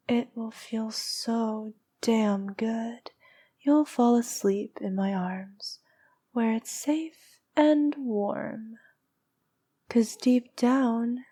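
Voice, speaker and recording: Slow, breathy vampire princess, acted by a woman. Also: on the high side, full character voice. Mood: tender, seductive, then coaxing, possessive. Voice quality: soft, breathy, often dropping to a whisper.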